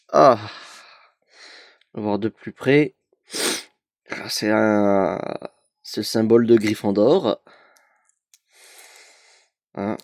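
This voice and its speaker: A man's voice: lowish voice, darkish tone, no echo, studio quality. A young man with a cold, chatting hesitantly with many fillers while opening an advent calendar for his collection vlog. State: has a cold. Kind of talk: casual unboxing vlog.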